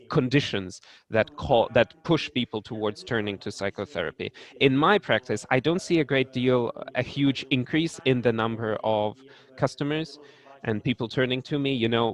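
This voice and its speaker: A man with a mid-range voice talks through an online panel discussion. His delivery is halting, full of restarts and small fillers as he works out each thought. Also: mid-range voice. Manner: hesitant, many restarts and fillers.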